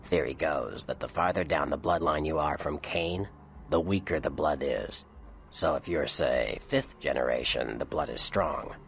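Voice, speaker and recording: An actor playing a worldly, sardonic old vampire informant in a video game, gravelly, with a dry, wry, slangy way of explaining things. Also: male voice, a bass voice, unhurried, exaggerated voice. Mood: matter-of-fact. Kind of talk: video game character dialogue.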